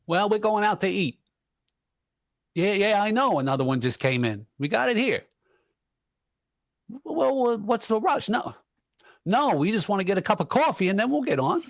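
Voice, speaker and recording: Casual, whiny male morgue worker played by an actor, radio drama; high-pitched, dry close sound. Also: big pitch swings, moderate pace, pauses often, dry recording. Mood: grumbling. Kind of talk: vintage radio drama acting.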